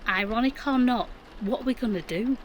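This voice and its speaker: A woman's voice: fairly high pitch, fairly quick, natural voice. A middle-aged woman playing a defensive, practical mum in an audio drama, with a Yorkshire accent and matter-of-fact delivery. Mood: exasperated. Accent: Yorkshire English. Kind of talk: naturalistic audio drama acting.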